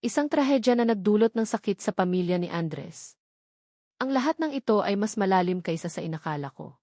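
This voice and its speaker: A woman's voice: mid-range voice, lively intonation, fairly quick, warm tone, dry recording. Woman narrating a dramatic story, close and dry. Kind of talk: scripted first-person dramatic narration.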